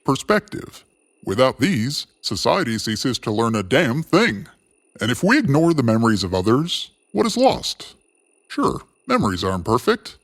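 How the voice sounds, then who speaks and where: A man narrating a scripted story theatrically, with ornate phrasing and dramatic, drawn-out pauses. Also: medium pitch, no echo, clean studio sound. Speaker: storyteller and host. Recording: clear music bed behind narration. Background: music.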